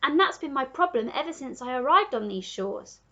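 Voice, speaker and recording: A genteel storybook lady giraffe, acted out by a woman, high and very animated. She is earnest, courteous and emotional in every line, a hungry creature with lovely manners. The sound is slightly dull. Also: moderate pace, full character voice. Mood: wistful. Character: polite, gushing, famished female talking giraffe.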